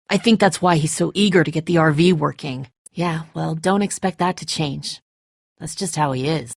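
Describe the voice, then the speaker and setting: A woman's voice: fairly low pitch, dry recording, clean studio sound. A woman playing a tough, bitter, defensive apocalypse survivor in a dramatic video game, speaking in a terse, confrontational way.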